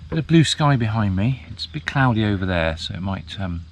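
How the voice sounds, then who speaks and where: A man in his fifties, chatting casually to the camera outdoors in a field, relaxed and rambling with plenty of ums. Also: lowish voice, a little fast, a little hiss or hum. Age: 50s. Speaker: vlogger. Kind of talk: casual vlog chat.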